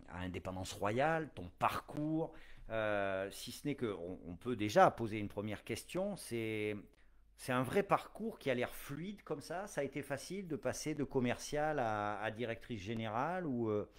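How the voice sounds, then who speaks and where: A man hosting a live interview show from home, talking in a relaxed, chatty way with many fillers and playful asides. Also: mid-range voice. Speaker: host.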